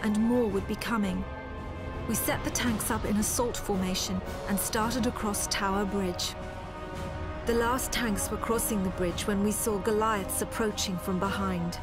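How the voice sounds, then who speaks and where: Grave woman officer narrating over loud music, acted. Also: mid-range voice, fairly even intonation, a little slow, close to an everyday voice. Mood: sombre, grave. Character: grave female officer recounting a war. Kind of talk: scripted cutscene narration.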